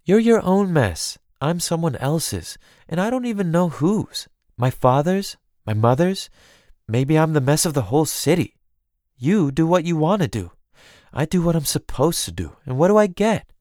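A man's voice: a little high, sing-song, moderate pace, darkish tone, dry recording, studio quality, pitched above the performer's own voice. A man voicing an earnest, lonely, dutiful young noblewoman in an audiobook, speaking in a plaintive way. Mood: bitter, weary. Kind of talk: audiobook character dialogue.

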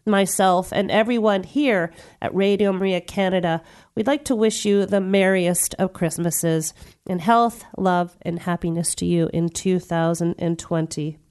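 A woman's voice: medium pitch, clear, resonant tone. A woman hosting a radio health talk show, nasal from the tail end of a cold and talking in a chatty, upbeat way. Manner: warm, chatty, upbeat and welcoming.

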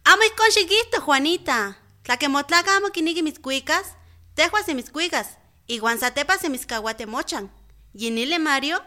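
A woman's voice: high-pitched, animated, moderate pace, sharp tone. A woman playing a frank, experienced village midwife in an educational radio drama, with a Mexican accent. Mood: advising.

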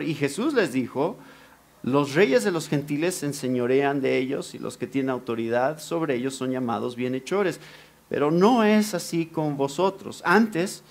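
A man from Mexico with a Mexican Spanish accent, preaching a lively sermon and asking the congregation questions. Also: on the high side. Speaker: pastor.

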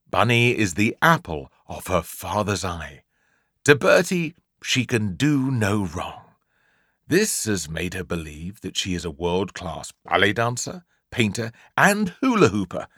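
A man's voice: fairly low pitch, fairly bright tone, breathy edge, no echo, studio quality. A man with an English accent narrating a comic children's story in a theatrical, emphatic way, drawing out key words. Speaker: audiobook narrator.

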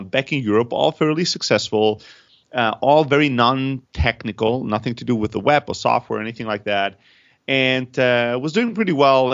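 A man in his thirties from Greece, raised in Germany, a startup founder telling his story in an interview with energy and many restarts. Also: medium pitch. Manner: energetic, frequent restarts and repeated words. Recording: slightly dull lo-fi audio.

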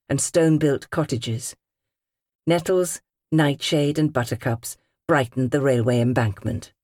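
A woman with a British accent reading literary fiction as an audiobook narrator, measured and carefully phrased. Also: deep voice, a little mellow, no echo, clean studio sound. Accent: British English. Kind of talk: scripted literary narration in character.

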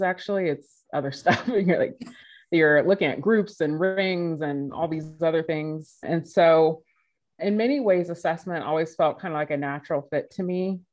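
A woman in her forties, a podcast guest answering questions in a lively, conversational way with frequent laughs. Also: low voice, dull audio. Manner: animated, laughs while telling stories.

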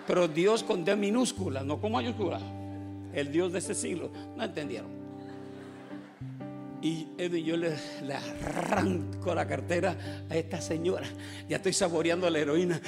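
A man's voice: a little high, unhurried, gravelly, pauses often. A middle-aged man, preaching a joking testimony sermon and mixing in English, over guitar music. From Puerto Rico. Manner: joking, storytelling, mixes in English. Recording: guitar music playing behind.

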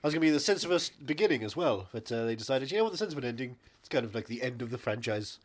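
Man playing a theatrical British luvvie, swooping pitch. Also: expressive, rapid-fire, natural voice. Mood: rambling, boastful. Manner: theatrical, boastful, rambling. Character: pompous, rambling, mischievous old male luvvie actor. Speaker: podcast host. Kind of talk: improvised comic banter in character.

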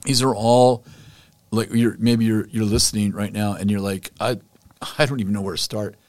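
A man with a low voice hosts his podcast in an enthusiastic, encouraging way. The recording is dry and close. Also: steady tone, normal speed, a little mellow, no room sound. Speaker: podcast host. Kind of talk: conversational interview.

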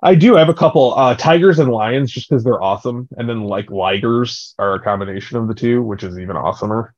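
A man's voice: mid-range voice, fast, a little mellow, no echo. A man with a mid-range voice, trading playful jokes as a podcast co-host. His sound is a little dull and lo-fi. It is also dry and close. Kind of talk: casual chat. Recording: slightly dull, lo-fi dry recording.

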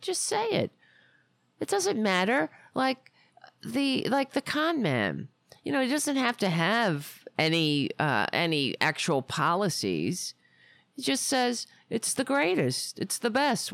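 Gravelly low-voiced woman host. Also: no room sound. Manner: rambling, indignant, sarcastic. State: losing her voice. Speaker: podcast host. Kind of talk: casual political commentary.

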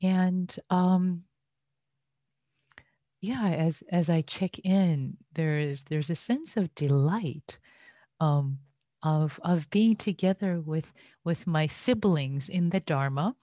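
A woman's voice: fairly low pitch, takes it slowly, mellow, no room sound. A woman born and raised in Iran, a Buddhist teacher giving a dharma talk online, speaking hesitantly with many fillers and restarts. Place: home, online session.

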